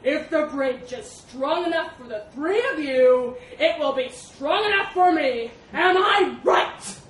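A woman voicing a huge, arrogant male storybook elephant, bullying and imperious, with a booming, blaring delivery. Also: takes it slowly. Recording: echoey, distant mic, faint rumbling noise.

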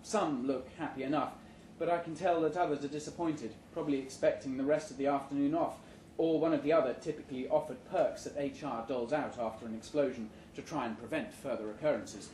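A man reading a first-person short story aloud at a live event in a measured, dry manner. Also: mid-range voice, steady tone, darkish tone. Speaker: story reader. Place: indoor live reading event before an audience. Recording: roomy live venue, background noise.

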